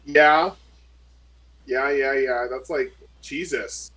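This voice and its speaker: A man's voice: mid-range voice, slow pace, pushed and bright, rough recording. A man playing a tabletop game over a call, thinking aloud and teasing the others. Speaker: player.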